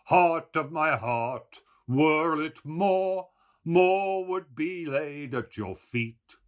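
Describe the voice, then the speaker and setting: A man's voice: mid-range voice, big pitch swings, slow pace, sharp tone, pitched above the performer's own voice, full character voice. A man voicing a boisterous, mocking young Irish wit in a storybook, with mock-grand declamation. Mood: mock tender.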